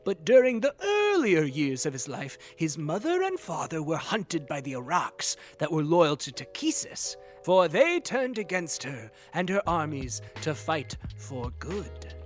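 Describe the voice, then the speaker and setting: A man plays an eager young tabletop gamer who is easily miffed. His pitch moves about in a lively way, with music under the audio drama dialogue. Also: unhurried, hardly any pauses. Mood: showy, earnest.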